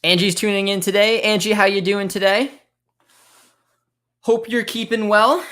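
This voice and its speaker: A man's voice: high voice, steady tone, moderate pace, bright, forceful tone. A young American man hosting a live football watchalong, chatting casually with his viewers, reacting to the play and thinking aloud with lots of fillers. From United States. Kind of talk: casual live match commentary and chat reading.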